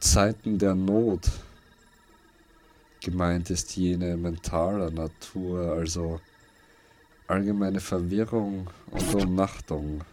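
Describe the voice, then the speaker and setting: A man reading scripted literary narration for a post-apocalyptic radio play, in deliberate, fragmented phrases that repeat words. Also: low-pitched, steady tone, noisy background.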